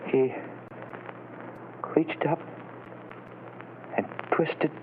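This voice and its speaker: An actor playing a frightened young condemned man in a 1940s radio drama, halting and effortful. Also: fairly high pitch. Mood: frightened, straining. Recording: noisy, narrow-band old radio broadcast.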